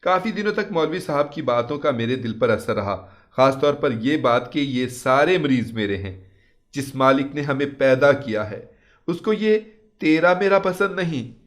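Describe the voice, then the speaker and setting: A man's voice: mid-range voice, expressive, moderate pace. A man reading a scripted first-person moral story as a storyteller.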